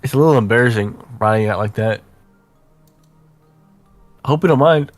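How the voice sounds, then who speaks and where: A man's voice: medium pitch, normal speed, dry recording, soft background music, natural voice. A man voicing a chatty, affectionate young female letter writer in a visual novel, conversational and self-deprecating. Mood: chatty, sheepish.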